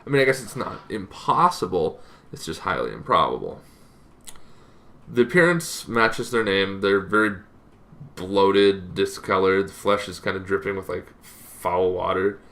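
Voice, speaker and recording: Male explainer host, low register with heavy vocal fry, informal and halting delivery. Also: fairly bright tone. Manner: casual, loosely phrased, halting delivery. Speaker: host of a tabletop roleplaying lore show. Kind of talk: informal explainer on game monsters.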